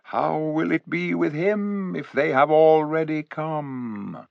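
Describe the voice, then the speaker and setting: A man's voice: on the high side, takes it slowly, gravelly, dry recording, dull audio, voice pushed up. A man voicing a wise, musing eagle. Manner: quiet, musing. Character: wise keen-eyed male eagle, thoughtful.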